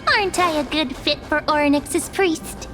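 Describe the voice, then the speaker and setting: A woman's voice: high-pitched, moderate pace, over music, natural voice. A woman voicing a small, cheerful pink fairy companion in a video game, cutesy, childlike and earnest. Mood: playful. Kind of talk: video game character acting.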